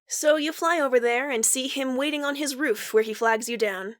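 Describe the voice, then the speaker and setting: Female narrator for audio fan fiction, fairly high voice, scripted storytelling. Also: normal speed, a bit bright.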